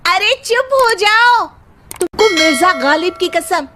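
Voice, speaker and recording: A woman playing an exasperated, sharp-tongued young wife, scolding sarcastically in a cartoon story, on a noisy recording. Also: very high voice, animated, a little slow, voice pushed up.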